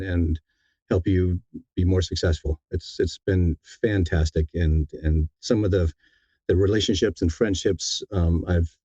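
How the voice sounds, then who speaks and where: A man speaking as a guest in a casual interview, in a halting, reflective and sincere way. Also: low-pitched, mellow, no room sound, highs cut off. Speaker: guest, audiobook narrator.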